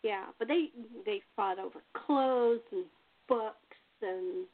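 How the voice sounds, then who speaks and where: A woman's voice: on the high side, slow. A woman chatting casually as host and playwright, telling anecdotes and given to chuckling. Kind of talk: casual panel chat. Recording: narrow tinny phone-like call audio.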